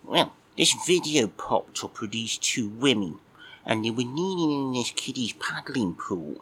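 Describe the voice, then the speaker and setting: A man's voice: mid-range voice, animated, unhurried, bright, forceful tone, slight background noise, low-quality recording. A man voicing a cheeky, crude, dim-witted male cat who rambles with mock innocence.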